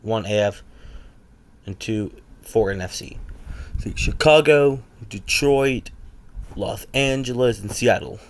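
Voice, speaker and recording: A man's voice: lowish voice, slow, long pauses. A man casually reacting to videos with rambling, joking asides.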